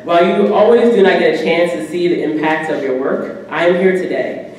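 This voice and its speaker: A woman in her twenties with an American accent gives a prepared personal speech as a guest, telling stories in an animated way. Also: fairly low pitch, fairly quick. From United States. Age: 20s. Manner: animated storytelling with acted-out quotes. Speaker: guest speaker. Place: indoor banquet room at a podium. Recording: echoey hall from far off, faint noise.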